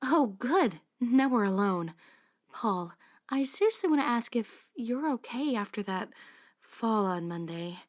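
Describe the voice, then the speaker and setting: Woman playing a poised schoolgirl with lively intonation. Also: on the high side, unhurried. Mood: concerned, confiding. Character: poised, caring teenage girl, school club president. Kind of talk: fan visual novel voice acting.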